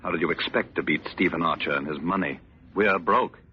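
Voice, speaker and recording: An actor playing a sardonic crippled husband with political ambitions, speaking with formal, mocking politeness in 1940s radio drama style. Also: male voice, deep voice, a little fast, close to an everyday voice. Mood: bitter, taunting. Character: bitter, sardonic crippled husband running for governor. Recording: dull vintage radio recording, faint hiss.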